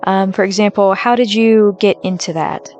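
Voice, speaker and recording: A woman's voice: medium pitch, fairly even intonation, moderate pace, a little mellow, highs cut off, soft background music. A woman asking questions as a casual interviewer.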